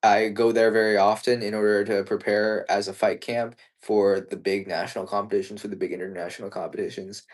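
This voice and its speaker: A young man from the United States talks martial arts in a relaxed live chat. His voice sits fairly low. He sounds earnest and thoughtful, often starting a sentence twice before it comes out. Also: brisk, few pauses. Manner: earnest, thoughtful, with frequent restarts. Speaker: martial arts competitor and co-host. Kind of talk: casual live-stream chat.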